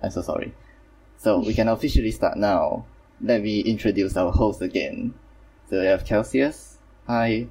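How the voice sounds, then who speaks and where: A man's voice: lowish voice, normal speed, a little mellow, far from the mic, low-quality recording. A man hosting a casual online group chat, talking hesitantly with many fillers and apologies. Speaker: host.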